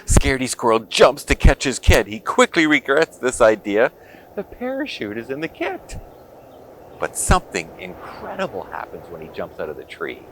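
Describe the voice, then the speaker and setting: A man reading a picture book aloud to children in an expressive, dramatic storytelling manner. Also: mid-range voice, a little hiss or hum. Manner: expressive, dramatic pauses and exclamations.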